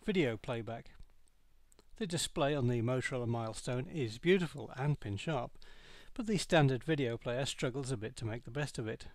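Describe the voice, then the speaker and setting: A man reading a scripted product review as narration, in a measured, matter-of-fact way. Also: medium pitch, a little hiss or hum.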